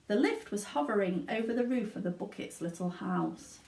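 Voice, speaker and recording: A woman reading a children's story aloud in an expressive storytelling style. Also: medium pitch, normal speed, vocal fry. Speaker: teaching assistant reading aloud. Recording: roomy echo, distant from the mic.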